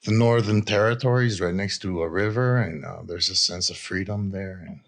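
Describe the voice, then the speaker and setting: Male interview guest from Israel, very low pitch. Addiction coach telling personal stories in a halting, reflective way. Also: a bit bright. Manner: halting and reflective, often adds "you know". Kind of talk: candid personal storytelling in an interview.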